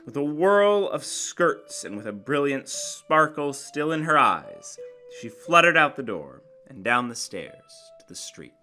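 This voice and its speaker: A man's voice: mid-range voice, very animated, measured pace, sharp tone. A man narrates a classic short story as an emphatic, theatrical dramatic reading, savoring his phrases. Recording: guitar music behind the voice. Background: plucked guitar music.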